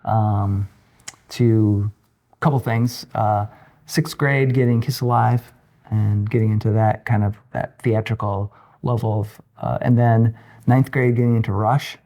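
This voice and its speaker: Male podcast guest, about 60, informal and halting, telling stories in a loose conversational style. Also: lowish voice, steady tone, dark tone. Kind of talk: casual interview chat, personal anecdotes.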